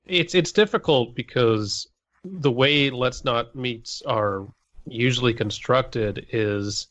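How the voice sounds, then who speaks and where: A man co-hosting a podcast, reading stories aloud and chatting casually in a measured, explanatory way. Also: medium pitch, fairly bright tone, dull audio. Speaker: podcast co-host.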